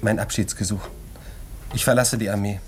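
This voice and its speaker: A man's voice: fairly low pitch, fairly even intonation, moderate pace, lightly acted. Man playing an anguished young lieutenant, noisy recording. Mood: despairing. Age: young adult. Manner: agitated, pleading, repeating himself. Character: anguished, weak-willed young male army lieutenant. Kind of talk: German radio drama acting.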